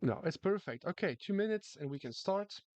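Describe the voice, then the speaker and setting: A man's voice: high voice, expressive, a little fast, darkish tone, dry recording. Chatty male streamer. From France. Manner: chatty, repeats words, reads chat aloud. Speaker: chess streamer. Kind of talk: casual live-stream chat.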